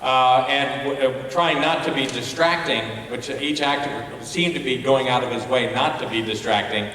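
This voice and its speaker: Man speaking haltingly in a very echoey hall. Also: mid-range voice, fairly bright tone. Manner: halting, searching for words. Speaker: festival judge giving critiques. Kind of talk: spoken awards feedback to an audience. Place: large indoor auditorium. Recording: distant echoey hall PA, long reverb, faint noise.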